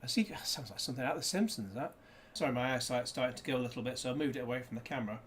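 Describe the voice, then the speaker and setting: A man's voice: medium pitch, racing, a little hiss or hum. A man chatting casually through a disc unboxing, rambling with jokey asides, recorded indoors on a desk camera. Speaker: disc reviewer.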